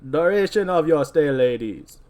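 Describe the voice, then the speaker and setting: Male reader as seasoned old boat captain, mocking and wry, animated delivery for a script read-through. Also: high-pitched, moderate pace, pitched above the performer's own voice. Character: older male ferry captain, gruff and mocking.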